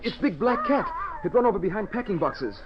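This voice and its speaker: An actor playing a loyal, deferential manservant who speaks clipped broken English, in old-time radio drama style. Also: male voice, sits high, sing-song, brisk. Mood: alarmed. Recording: muffled, noisy old radio recording.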